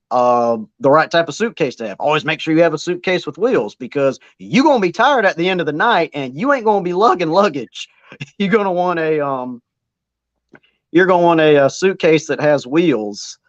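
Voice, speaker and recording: A man's voice: a little high, no echo, highs cut off. A man who works as a wrestling ring announcer, chatting casually as an interview guest and rambling with plenty of fillers. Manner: rambling, self-deprecating, many fillers.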